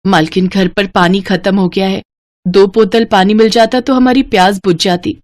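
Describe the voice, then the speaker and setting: A woman's voice: mid-range voice, steady tone, normal speed, a little mellow, close to an everyday voice. A woman voicing a humble, poor working mother and maid in a moral story, deferential and caring.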